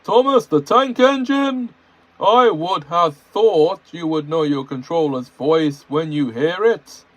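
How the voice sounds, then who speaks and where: A man's voice: mid-range voice, slow pace, darkish tone, slightly rough, no room sound. A man voicing a stern older male railway boss who gives orders and scolds.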